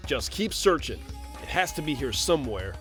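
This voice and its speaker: A woman's voice: a bass voice, very animated, moderate pace, soft background music. A woman playing a brash young male echidna adventurer in an audio drama, blunt, confident and teasing. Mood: confident, impatient. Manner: blunt, cocky. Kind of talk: fan audio drama acting.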